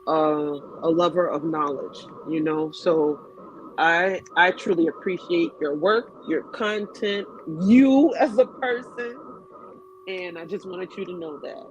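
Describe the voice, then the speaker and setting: A man's voice: high-pitched, slow pace, highs cut off, a little hiss or hum. A man calling in to a live chat, earnestly praising the host. He halts often and repeats his words. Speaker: caller. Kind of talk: casual call-in chat.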